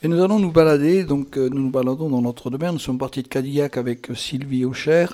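A man's voice: mid-range voice, quick pace, dark tone. A man hosting a radio show in a chatty, improvised interview style, playful and teasing, with rambling, theatrical, flowery turns of speech. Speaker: radio host.

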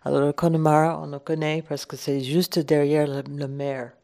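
A woman's voice: sits low, flat delivery, normal speed, dark tone. A woman from Ireland, speaking French as an as a radio guest, hesitant and searching for words. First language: English. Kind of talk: relaxed radio chat.

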